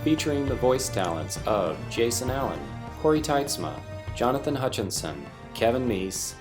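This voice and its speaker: A man's voice: mid-range voice, very animated, normal speed, over music. A man announcing the title and cast of a show in a dramatic announcer style.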